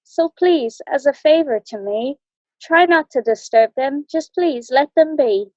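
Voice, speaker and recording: A woman playing a gentle, protective elephant in a children's storybook, earnest and pleading in rhyme, on muffled audio. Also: sits high, lively intonation, measured pace, dry recording. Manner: pleading, earnest rhyming speech. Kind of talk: children's storybook read-aloud.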